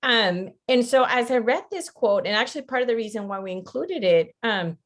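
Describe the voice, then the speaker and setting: A woman's voice: on the high side, expressive, moderate pace. A woman presents an interactive online lecture in a conversational way, often checking in with her listeners. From Costa Rica. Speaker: webinar presenter, teacher educator.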